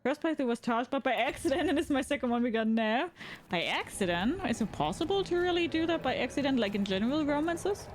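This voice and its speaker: A woman's voice: animated, fairly quick, a little hiss or hum. A woman streaming a game, chatting casually with her viewers, asking them questions and reading game text aloud. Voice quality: says her voice is fairly deep. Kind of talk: casual gaming chat with viewers. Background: game music.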